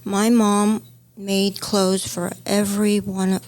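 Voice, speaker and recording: A woman's voice: medium pitch, level tone, slow. Hong Kong-born woman telling her story. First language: Chinese. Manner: storytelling, warm reminiscing. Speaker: podcast co-host, stylist. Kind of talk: casual chat.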